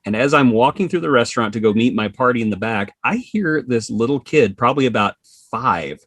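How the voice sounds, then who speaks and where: A man tells anecdotes casually as an interview guest. His voice is husky and very animated in pitch, recorded dry and close. He slips into acting out what people say. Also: a little fast, no room sound. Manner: animated, acts out dialogue. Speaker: podcast guest, social media creator.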